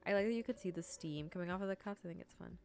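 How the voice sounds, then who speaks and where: A woman's voice: lowish voice, rapid-fire, a little mellow, clear, resonant tone, soft background music. A woman hosting a game playthrough in a chatty, rambling way, her nose stuffed up from allergies. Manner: chatty and rambling, with many fillers and self-corrections. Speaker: gaming video host. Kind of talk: casual let's-play commentary.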